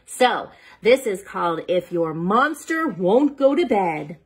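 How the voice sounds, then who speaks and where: Woman reading bedtime stories, very animated delivery. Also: mid-range voice, slow pace, slightly rough. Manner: playful, dramatic emphasis, rhetorical questions to the listener. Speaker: children's storyteller reading picture books aloud. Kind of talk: expressive bedtime read-aloud with asides to young children.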